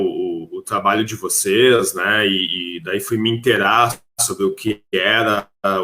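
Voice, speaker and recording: A man's voice: lowish voice, pushed and bright. A man hosting a casual podcast interview, talking in a rambling, circling way.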